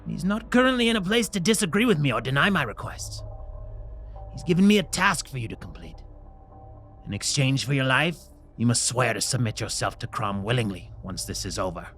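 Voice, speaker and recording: A man playing an older herald of a dark god in a fantasy audio drama, solemn, formal and commanding in manner. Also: medium pitch, expressive, a little fast, soft background music, close to an everyday voice. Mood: stern, solemn. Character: older male herald of a dark god, stern yet merciful.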